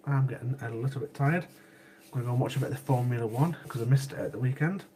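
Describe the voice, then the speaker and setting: A chatty man, a bit sniffly from a cold, talks casually to his livestream viewers at a middling pitch. He loops back over his words and laughs often. A faint hiss sits under the recording. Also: quick pace, mellow. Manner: chatty, repeats himself, laughs easily. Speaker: livestream host. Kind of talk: casual chat with live chat viewers.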